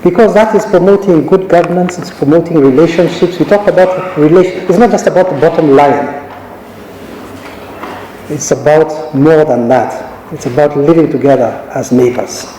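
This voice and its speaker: A middle-aged man from Kenya, a judge, giving a measured, anecdotal conference talk with frequent restarts. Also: a little high, warm tone, a little hiss or hum. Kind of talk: conference speech. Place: large conference hall. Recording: echoey hall recording.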